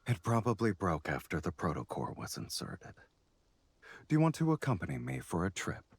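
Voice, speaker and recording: An actor playing a commanding, dry, terse man with a husky voice, a crime boss in a dubbed game story. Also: low-pitched, wildly swooping pitch, moderate pace, no room sound, exaggerated voice. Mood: calm, inviting. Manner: terse, dryly teasing.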